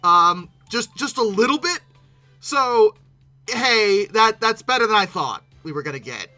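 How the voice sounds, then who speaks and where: An exasperated commentator giving an informal, unscripted reaction, animated and often stumbling over repeated words in disbelief. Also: medium pitch, faint music behind. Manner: exasperated, incredulous, animated with abrupt outbursts; halting, repeating words when flustered. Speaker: video creator hosting a reaction commentary.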